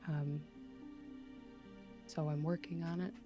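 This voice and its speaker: A woman's voice: deep voice, level tone, takes it slowly, music in the background. A woman casually improvising as a player in a tabletop game. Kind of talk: casual improvised roleplay chat.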